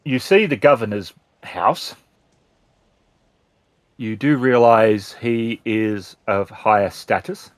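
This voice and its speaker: A man narrating a tabletop roleplaying game as storyteller, describing scenes in measured, deliberate phrases. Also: medium pitch, no room sound.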